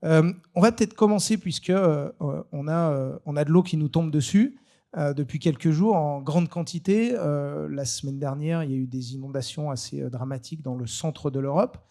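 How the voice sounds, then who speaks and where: A man hosting an informal live panel, speaking in a hesitant, halting way. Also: a little high, creaky, mellow. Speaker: panel moderator.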